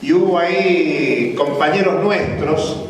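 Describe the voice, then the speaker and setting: A man's voice: a little high, slow. A man moderating a conference panel, chatty and informal, welcoming speakers and guests. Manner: chatty, informal, calls people over. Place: large conference hall. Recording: echoey hall, distant mic, crowd murmur.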